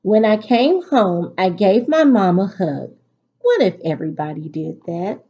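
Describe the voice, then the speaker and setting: A woman teacher reading aloud to students in an expressive, instructive storytelling delivery. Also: mid-range voice, measured pace, husky. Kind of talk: picture-book read-aloud for a virtual class. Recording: dull, lo-fi recording.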